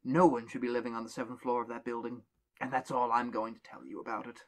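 A tired old woman at a dormitory desk, read aloud by a man, speaking slowly and gravely. Her voice sits at a middling pitch, plain and unhurried. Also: fairly even intonation, lightly acted. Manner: slow, grave, warning.

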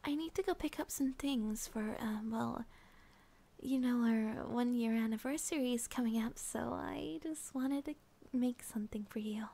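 Woman acting a high, sleepy, soft-voiced girlfriend. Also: normal speed. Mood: tender, tired. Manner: soft, breathy, often whispering, with sighs and giggles. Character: sleepy, affectionate young schoolgirl girlfriend. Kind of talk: ASMR girlfriend roleplay.

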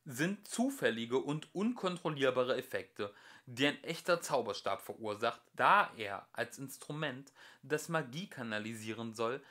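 Young man, amateur audiobook narrator, medium pitch, animated melody, scripted reading. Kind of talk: audiobook reading of scholarly fairy-tale commentary.

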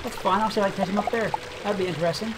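A game video creator giving casual gameplay commentary, thinking aloud in a relaxed, chatty way. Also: medium pitch, racing, noisy background. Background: game music.

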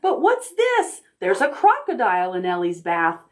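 A middle-aged woman hosting a children's storytime, reading aloud in simple, expressive language for toddlers. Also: medium pitch, moderate pace. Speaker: library storytime host. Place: indoor room, recorded on video.

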